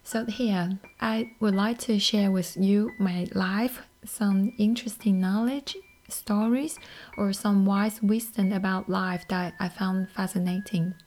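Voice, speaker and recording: A woman from Taiwan whose first language is Mandarin, speaking English with a Taiwanese accent as a podcast host, in a soft, airy, half-whispered scripted monologue. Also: medium pitch, fairly even intonation, slow.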